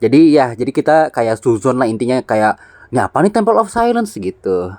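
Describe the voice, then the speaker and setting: A man's voice: medium pitch, sing-song, quick pace, darkish tone, no echo, studio quality. A young man giving casual, slangy, joking game commentary. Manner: informal, joking, exclamatory. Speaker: gaming video creator.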